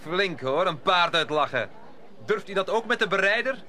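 An actor playing a brave, hot-headed young would-be musketeer in a radio drama, indignant and challenging. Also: male voice, high-pitched, lively intonation, moderate pace, sharp tone. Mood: defiant.

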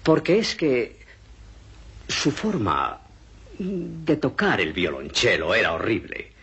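Exasperated film music teacher, very raspy, wildly swooping pitch, disdainful, dull soundtrack. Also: male voice, wildly swooping pitch, takes it slowly, a bit bright, full character voice. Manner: exasperated, emphatic. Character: exasperated male cello teacher, complaining and disdainful. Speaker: interviewee. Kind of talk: scripted mock interview in a film.

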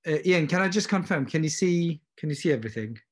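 A man, an eye surgeon, giving a relaxed webinar lecture over a computer microphone, with plenty of ums. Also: on the high side, fast talker, breathy edge. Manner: relaxed, self-deprecating, frequent ums. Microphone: computer mic on a video call.

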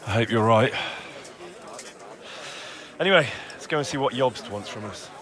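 An actor playing an impatient, plain-spoken young squire in a video game. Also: male voice, mid-range voice, lively intonation, moderate pace, fairly bright tone, lightly acted. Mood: brusque, friendly. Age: young adult. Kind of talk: video game cutscene acting.

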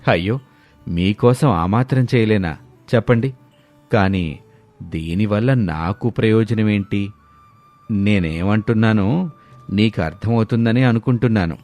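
A man's voice: lowish voice, measured pace, pauses often, darkish tone, soft background music. An actor playing a greedy, self-serving village headman in a cartoon moral story. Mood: sly, bargaining.

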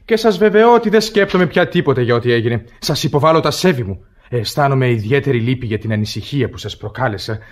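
An actor playing a suave young aristocratic gallant, courteous and formal, in a classic radio theatre comedy. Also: male voice, medium pitch, animated, lightly acted.

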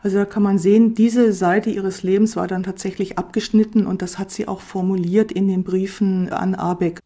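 Mellow-voiced woman explaining in interview. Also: medium pitch, steady tone, normal speed. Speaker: expert interviewee. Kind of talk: spontaneous explanatory interview talk.

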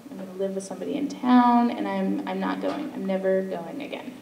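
A woman's voice: medium pitch, fairly even intonation, brisk, creaky, a little mellow. A young woman, a fishing skipper, telling stories on a live panel. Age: late 20s to early 30s. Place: auditorium stage, recorded from audience distance. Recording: echoey, distant, noisy hall recording.

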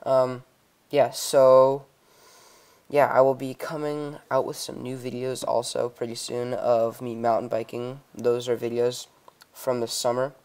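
A young man giving a casual software tutorial in a hesitant, improvised way. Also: medium pitch, clean tone. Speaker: tutorial host. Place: indoors, voice-over on a computer screen recording.

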